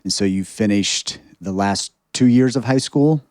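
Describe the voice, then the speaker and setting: A man interviewing a guest, asking gentle questions and giving soft murmurs of agreement. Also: on the low side, measured pace, no echo, clean studio sound.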